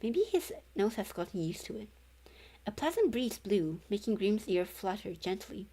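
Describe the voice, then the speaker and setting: A woman narrating a novel as a read-along, haltingly, stumbling over words and correcting herself. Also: mid-range voice, very animated, dark tone, breathy edge. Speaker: streamer reading a novel aloud.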